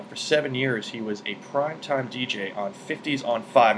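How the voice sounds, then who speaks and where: A man's voice: mid-range voice, a bit bright, a little hiss or hum. A man in his thirties hosting a podcast, introducing and chatting with his guest in an enthusiastic, friendly way. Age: 30s. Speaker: podcast host. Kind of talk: casual interview chat.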